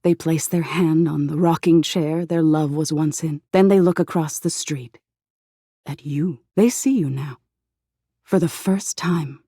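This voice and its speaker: A woman playing an eerie, softly menacing embodiment of existential dread in a video game, narrating slowly in a hushed, breathy voice that slips into whispers. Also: low voice, fairly even intonation, no room sound, studio quality. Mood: grim, haunting. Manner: slow hushed storytelling, lapsing into whispers.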